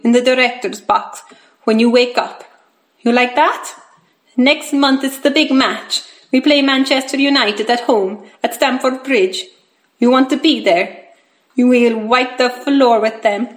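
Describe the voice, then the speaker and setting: A woman's voice: on the high side, natural voice. A woman voicing a kindly, encouraging male Italian footballer in an audiobook, with an Italian accent and coaxing, broken phrasing. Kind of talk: audiobook character dialogue.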